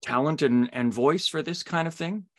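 A man's voice: a little high, normal speed. A man hosting a podcast, asking questions in a casual, conversational way. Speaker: podcast host, interviewer.

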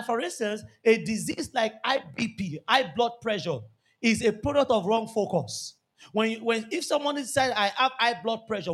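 A Nigerian man preaching a lively church sermon, repeating key phrases and joking with the congregation. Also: very high-pitched, breathy edge. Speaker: pastor.

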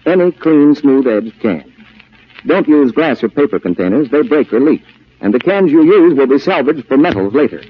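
Male 1940s radio announcer, deliberate and earnest scripted appeal, muffled old recording. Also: medium pitch, sing-song, husky.

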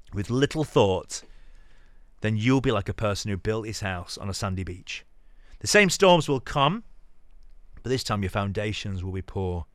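Guy with a lowish voice reading a sermon dramatically, earnest and deliberate, recorded close and dry. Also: a bit bright, no room sound. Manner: earnest, deliberate, with pauses for emphasis. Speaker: podcast host reading scripture aloud.

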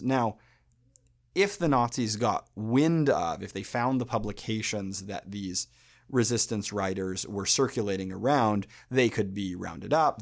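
Lowish-voiced American man explaining poetry. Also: vocal fry. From United States. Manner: thoughtful, measured, with pauses and fillers. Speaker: podcast host. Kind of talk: explanatory podcast talk.

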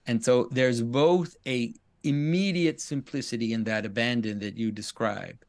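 A man's voice: medium pitch, measured pace. A man in thoughtful conversation, speaking in a reflective, intellectual way.

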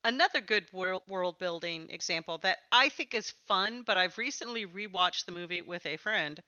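Bright-voiced woman hosting a podcast, dry sound. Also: lowish voice, lively intonation, moderate pace, dry recording, lo-fi sound. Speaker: podcast host interviewing a guest. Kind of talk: casual live podcast interview.

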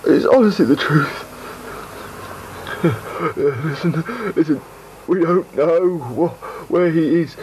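A performer voicing desperate former henchmen, pleading earnestly in a lowered voice. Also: takes it slowly, pauses often, background noise, close to an everyday voice. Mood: begging. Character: pleading freed henchmen, once controlled.

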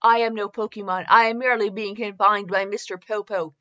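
A woman voicing a smug, calm, handsome man with a half sneer in a comedic fan fiction reading. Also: medium pitch, brisk, no room sound, lightly acted. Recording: slightly dull, lo-fi recording.

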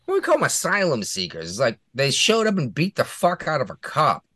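A man's voice: on the high side, huge pitch swings, brisk, bright, forceful tone, no room sound. Male co-host, casual and crude humor, dry close recording. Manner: crude, joking, laughs at his own jokes. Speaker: podcast co-host. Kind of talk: casual political chat.

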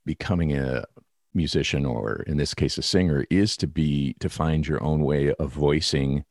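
A man hosting an interview, with a strong baritone voice that has an edge to it, reflecting on his own experience. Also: moderate pace, a little mellow, dry recording, clean studio sound. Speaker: podcast host, singer. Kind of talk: casual interview conversation.

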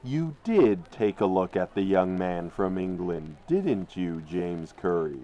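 A man's voice: low voice, sing-song, slow pace, warm tone, dull audio. A man narrating a crime radio drama in an ominous, theatrical manner. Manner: ominous, theatrical, addresses characters directly.

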